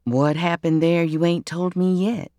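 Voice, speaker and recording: A plain-spoken country man with a lowered, unhurried voice and folksy, halting speech, as a woman narrator puts him on. His words come slow and careful in a dry, close storybook reading. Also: fairly even intonation, dark tone, dry recording, lightly acted. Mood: earnest.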